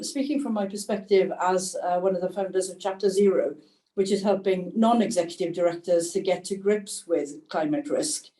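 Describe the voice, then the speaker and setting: A woman on a webinar panel, speaking in a measured, thoughtful way with pauses and fillers over a video call. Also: medium pitch, dark tone. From Wales. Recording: echoey room, distant mic.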